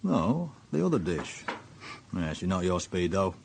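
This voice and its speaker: Boisterous police boss, swooping pitch. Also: male voice, very animated, measured pace, vocal fry, darkish tone, slight background noise, heavily acted. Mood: boisterous, teasing. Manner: blunt, jovial, needling. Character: bluff, vulgar senior policeman, big, boisterous, mocking boss. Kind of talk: radio drama acting.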